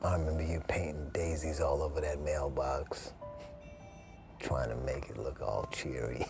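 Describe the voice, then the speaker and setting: A man's voice: mid-range voice, very animated, brisk. A man acting as an earnest middle-aged American father chasing a second chance, in a naturalistic drama. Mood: wistful, hushed. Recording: music behind the dialogue.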